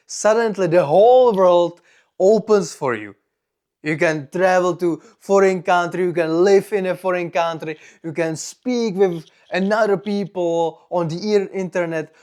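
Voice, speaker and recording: A young man from the Czech Republic, a native Czech speaker with a strong Czech accent, gives a personal vlog monologue in deliberate, simple non-native phrasing. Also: high voice, darkish tone. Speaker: vlogger.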